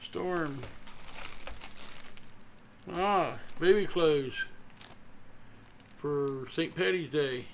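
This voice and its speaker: A man's voice: on the high side, extremely slow, long pauses, a little hiss or hum. A man in his fifties making short quips and reading product labels aloud at a home table. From Ohio, USA. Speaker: co-host husband. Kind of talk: casual unboxing chat. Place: home room with table, indoors.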